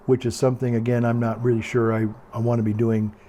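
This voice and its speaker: An older man with a calm, quiet voice, chatting casually in a meandering, hesitant way and often repeating himself. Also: medium pitch, fast, slight background noise. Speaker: video blogger. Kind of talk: casual rambling monologue.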